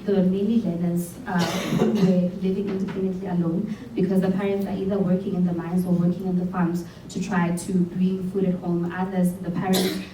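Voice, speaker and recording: A woman's voice: on the low side, flat delivery, brisk, few pauses. Earnest young South African woman in a hall. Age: young adult. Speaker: program alumna and speaker. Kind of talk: speaking on stage at a showcase. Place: event hall. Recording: roomy hall from far off with faint hum.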